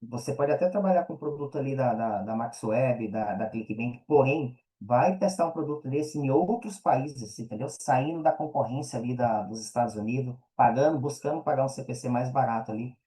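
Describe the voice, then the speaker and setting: A man's voice: mid-range voice, normal speed, hardly any pauses, dark tone, low-quality recording. A Brazilian man chatting casually as an interview guest, explaining things in slangy, informal speech and often checking that he is understood. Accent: Brazilian Portuguese. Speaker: podcast guest.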